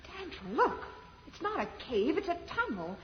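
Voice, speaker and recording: An actress playing an anxious yet plucky young space adventurer in an old-time radio serial, eager and questioning. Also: female voice, extremely high pitch, big pitch swings, normal speed. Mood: curious. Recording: muffled, hissy vintage radio, echoing reverb.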